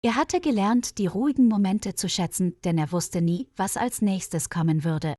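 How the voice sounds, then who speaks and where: A woman narrating a scripted story in a clear, measured way for learners. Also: dark tone, dry recording. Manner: clear, measured reading with quoted dialogue lifted higher.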